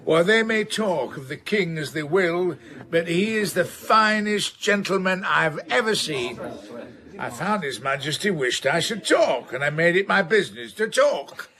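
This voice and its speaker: An actor playing an elderly, opinionated man of letters in a period radio drama, speaking in measured, emphatic, formal pronouncements. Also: sits high, expressive, bright, forceful tone, full character voice. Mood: proud.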